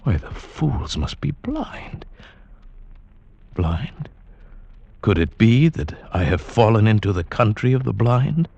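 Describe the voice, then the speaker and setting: A man's voice: fairly low pitch, very animated, slow pace, gravelly, pauses often, warm tone, lo-fi sound, exaggerated voice. An actor playing an eager, self-assured mountaineer telling his adventure in theatrical vintage radio drama style. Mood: calculating. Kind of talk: vintage radio drama acting, narration and dialogue. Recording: vintage radio broadcast with music behind.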